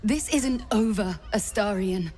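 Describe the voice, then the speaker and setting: A woman playing a bitter, defiant vampire spawn in a video game, speaking gravely. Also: mid-range voice, unhurried, a little mellow, slight background noise, lightly acted.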